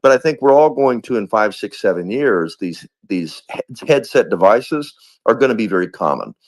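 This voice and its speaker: Man, low voice, rambling casual talker. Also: expressive, normal speed. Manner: rambling, full of restarts. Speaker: guest speaker in a live audio space. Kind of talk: casual chat.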